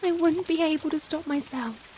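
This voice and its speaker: A woman playing a young Victorian vampire in a softly spoken ASMR roleplay, anguished and tender, speaking formally and hesitantly with rain behind the voice. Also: sits high, normal speed, close to an everyday voice. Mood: anguished, warning. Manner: hesitant, formal, emotional, pleading. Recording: steady rain noise behind. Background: rain falling on a surface.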